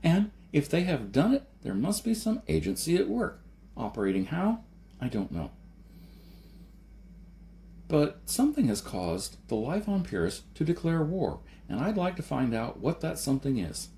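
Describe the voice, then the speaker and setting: A man's voice: fairly high pitch, very animated, moderate pace, warm tone, slight background noise, voice pushed up. A man voicing a persuasive male adventurer in a story, arguing and lecturing with insistent emphasis.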